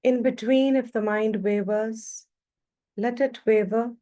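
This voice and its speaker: A woman leading a guided meditation as a teacher, speaking calmly in short phrases with long pauses between them. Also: on the high side.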